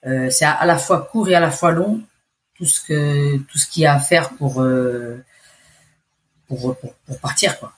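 A woman in her forties with an accent from France, telling her story in a casual interview, chatty and halting. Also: deep voice. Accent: French from France. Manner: chatty, hesitant, restarts phrases. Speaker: expat interviewee.

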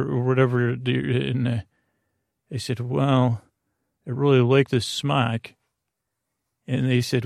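A man with a gravelly, creaky voice of medium pitch talks close and dry, like a bedtime host. He meanders through halting, half-finished tangents. His manner is soothing and reassuring. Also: no echo. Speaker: podcast host. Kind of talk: rambling bedtime story monologue.

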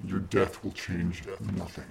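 An actor voicing a menacing, contemptuous creature in a horror audio drama, gravelly and ominously deliberate. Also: male voice, low voice, monotone, darkish tone, a little hiss or hum, full character voice. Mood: cold menace. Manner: slow, ominous, deliberate threats. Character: menacing, contemptuous creature taunting its prey, echoed by repeats.

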